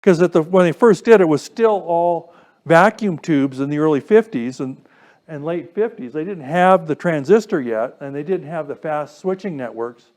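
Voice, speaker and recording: Man, high-pitched voice, informal technical presenter, explanatory, questioning delivery. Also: expressive, normal speed, a little mellow. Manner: explanatory, poses questions to listeners. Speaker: presenter giving a technical talk to a radio club.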